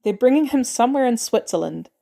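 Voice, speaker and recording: A woman voicing a gruff male soldier on the run in an audiobook, speaking bluntly in clipped, urgent phrases. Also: on the high side, animated, quick pace, mellow, pitched above the performer's own voice.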